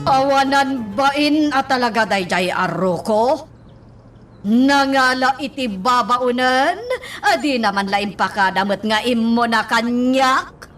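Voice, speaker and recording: A woman's voice: on the high side, slow pace, fairly bright tone, distant mic. An Ilocano-accented woman playing a jealous, bossy madam of the household in a radio drama, scolding and questioning sharply. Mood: suspicious, indignant.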